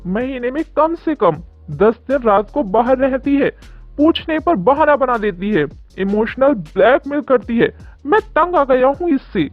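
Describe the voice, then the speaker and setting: A woman playing a suspicious, exasperated middle-aged father in an animated story. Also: high-pitched, a little slow, no echo, full character voice. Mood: fed up. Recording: dull lo-fi audio with music.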